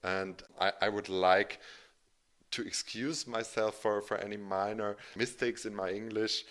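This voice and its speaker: A man's voice: fairly low pitch, fairly even intonation, unhurried, a bit bright. A man from Germany with a German accent, a native German speaker, giving an informal explanatory presentation as a financial educator, with hesitant, self-correcting phrasing. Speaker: financial educator and channel host.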